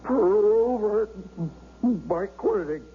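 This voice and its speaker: An actor playing a bitter, hostile young man who was left crippled, speaking in halting, stammering bursts. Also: high voice, lively intonation, slightly rough, exaggerated voice. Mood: angry. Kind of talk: 1970s radio drama acting. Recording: dull old radio broadcast with hiss.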